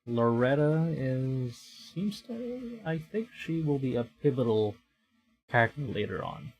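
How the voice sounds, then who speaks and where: A man's voice: mid-range voice, very animated, measured pace, a little mellow, rough recording. A man from the Philippines, streaming as he narrates a visual novel dramatically and adds casual commentary.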